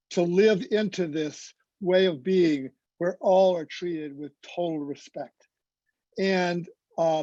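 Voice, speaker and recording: A man giving reflective, preacherly remarks as a roundtable panelist, deliberate and building lists in measured phrases, on a lo-fi video call. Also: high-pitched, a little mellow, no room sound.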